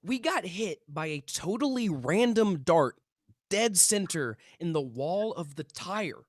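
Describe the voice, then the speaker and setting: A man's voice: high-pitched, lively intonation, slow, no room sound, clean studio sound, exaggerated voice. A man playing an intense, earnest young amateur paranormal investigator in a script read, self-important and conspiratorial. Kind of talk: table read of a TV pilot script.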